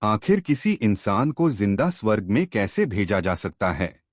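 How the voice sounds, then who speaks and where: A man's voice: fairly low pitch, sing-song, measured pace, no echo, studio quality. A man voicing a curious, puzzled king in a storybook tale, asking questions in an astonished way.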